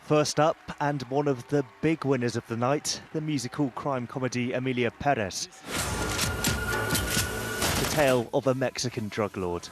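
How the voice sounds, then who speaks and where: A male reporter reading a scripted entertainment news package in crisp, punchy phrases. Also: medium pitch, normal speed, over loud music.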